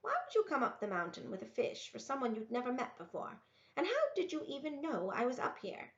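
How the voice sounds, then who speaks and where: A woman voicing a gruff, brisk old mountain woman with a hard manner in a storybook. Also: sits high, huge pitch swings, voice pushed up, full character voice. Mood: suspicious. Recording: roomy, echoey, dull lo-fi sound.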